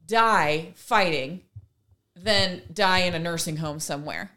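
A woman's voice: fairly low pitch, slow, pushed and bright. A woman co-hosting a casual discussion, earnest and emphatic, building her argument in long runs. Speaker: podcast co-host.

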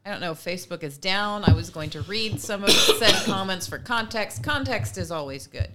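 A woman speaks as a podcast co-host in casual commentary. She explains through examples and drops in wry asides. Her pitch sits in an ordinary range for a woman. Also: expressive, normal speed, over loud music.

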